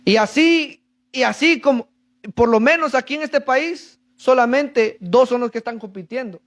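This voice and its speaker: A man's voice: very high-pitched, moderate pace. A man preaching a sermon to a congregation, reading verses and explaining them, calling for answers. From Central America. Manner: reads scripture then explains, asks congregation for answers.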